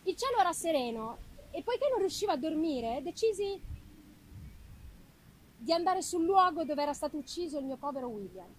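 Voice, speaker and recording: A woman with a distinctly high voice reads a gothic novel aloud in a theatrical, expressive way. Her phrasing is deliberate and literary. There is light ambient noise behind her. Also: poor audio. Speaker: reader of a literary audiobook.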